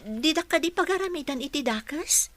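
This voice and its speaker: An actress playing a young, spirited but wary housekeeper in an Ilocano radio comedy-drama. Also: female voice, high-pitched, lively intonation, brisk, fairly bright tone, slight background noise.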